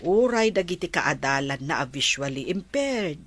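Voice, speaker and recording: A woman playing a caring grandmother in an Ilocano radio drama, gentle and explanatory, with an Ilocano accent. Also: sits low, lively intonation, moderate pace. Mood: gentle, explaining. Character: caring, slow-spoken grandmother of a blind student.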